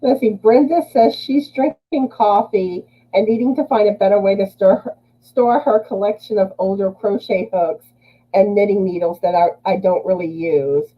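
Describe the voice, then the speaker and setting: A woman's voice: mid-range voice, moderate pace, mellow, rough recording. A woman with a Southern US accent hosting a casual livestream chat, laughing often as she greets viewers by name. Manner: laughs often while reading chat names. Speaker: livestream host.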